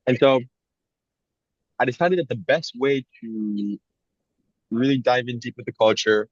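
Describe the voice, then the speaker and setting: A man's voice: mid-range voice, measured pace, dry recording, muffled recording. A young man co-hosting a podcast, talking in a casual, rambling and reflective way with frequent "like" fillers. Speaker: podcast co-host.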